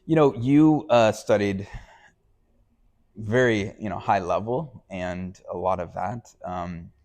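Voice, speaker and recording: Low-voiced man hosting an interview. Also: slow, a little mellow. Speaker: interviewer, show host. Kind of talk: conversational interview.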